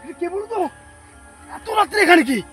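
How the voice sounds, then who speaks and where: A man hosting a ghost-hunting vlog outdoors in the woods at night, jittery and excitable, repeating words. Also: extremely high pitch, brisk, music in the background. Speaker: vlogger host.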